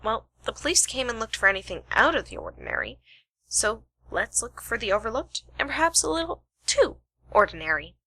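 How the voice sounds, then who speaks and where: A woman's voice: a little high, wildly swooping pitch, brisk, gravelly, pushed and bright, full character voice. A woman playing a keen, analytical amateur detective in an amateur radio play. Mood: curious.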